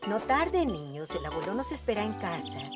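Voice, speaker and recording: A woman's voice: mid-range voice, very animated, normal speed, natural voice. A woman voicing a caring older grandmother rabbit in a Latin American Spanish cartoon dub. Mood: gently chiding. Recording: music behind the voice.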